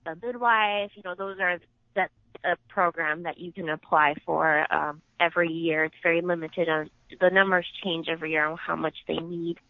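A woman whose first language is Japanese, a Navy nurse officer, recounting her experiences in a relaxed, conversational way with frequent ums. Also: lowish voice, no echo. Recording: dry, tinny phone line.

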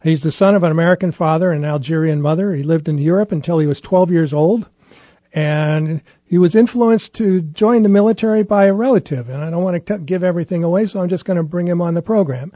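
A man's voice: on the high side, quick pace, warm tone, dry recording. A man hosting a radio interview, talking conversationally with small restarts. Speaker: radio host. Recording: muffled lo-fi broadcast audio.

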